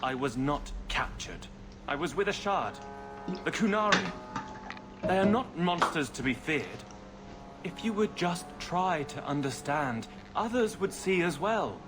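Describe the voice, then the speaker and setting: A man's voice: a little high, lively intonation, a little slow, over loud music. An actor playing an idealistic, defiant young nobleman in a fantasy video game, with earnest, formal phrasing. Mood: defiant, impassioned. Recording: loud ambient noise behind.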